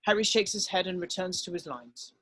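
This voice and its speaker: A man's voice: high voice, expressive, a little fast, bright, forceful tone, no room sound. A man narrating, reading screenplay stage directions aloud at a script table read.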